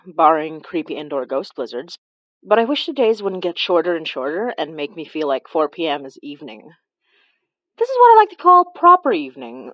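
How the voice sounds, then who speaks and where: A woman's voice: on the low side, dry recording, highs cut off. A woman narrating a horror fiction podcast in a chatty, wry, sarcastic way. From Canada. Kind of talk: scripted audio drama narration.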